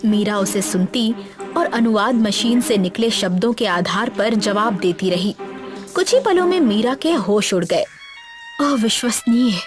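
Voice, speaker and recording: A woman's voice: mid-range voice, lively intonation, unhurried. Woman narrating a dramatic story over music. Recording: music bed behind the voice.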